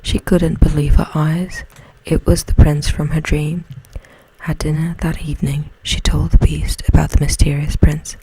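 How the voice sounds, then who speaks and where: A woman reads a bedtime tale in ASMR style. Her whisper is soothing and unhurried, dark and very breathy, with a creaky edge. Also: low-pitched, little pitch movement, no room sound, poor audio. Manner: gentle, slow, soothing. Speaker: bedtime story narrator. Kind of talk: ASMR, whispered storybook narration.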